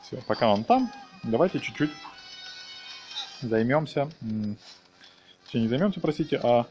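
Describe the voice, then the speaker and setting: Man, let's player, casual walkthrough narration, animated intonation, step-by-step explaining, music behind. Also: medium pitch, normal speed. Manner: talks viewers through steps, fond of diminutives and slangy words. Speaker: video game let's player. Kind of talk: casual gameplay walkthrough commentary.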